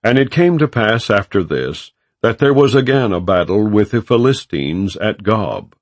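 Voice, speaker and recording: A man reading scripture as an audiobook narrator, in a measured, solemn and formal delivery. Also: lowish voice, lively intonation, dry recording.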